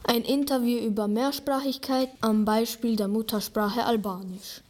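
A teenage girl presents a school radio show, reading her script clearly. Her voice sits fairly high. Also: fairly even intonation, moderate pace, a little mellow. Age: teens.

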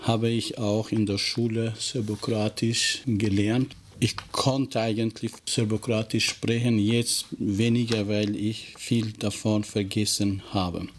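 Slow, flat-voiced man, native Albanian speaker. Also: lowish voice, a little hiss or hum. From former Yugoslavia. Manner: halting, searches for words and restarts sentences. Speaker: teacher being interviewed. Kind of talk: interview answers.

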